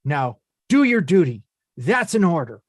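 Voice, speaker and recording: An earnest, chivalrous little repairman from a cartoon video game , acted by a man. He is polite and excitable, with pitch that leaps about. His pace is unhurried and the sound is close and dry. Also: no room sound. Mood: eager, gallant. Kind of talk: animated film table read.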